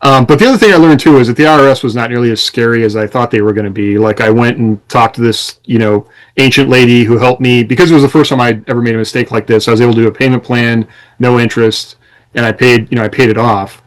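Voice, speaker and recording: Guy cohosting a podcast, mid pitched voice, casual n reflective, explains things w personal stories. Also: fast, rough recording. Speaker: podcast co-host. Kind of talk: casual chat, personal anecdotes.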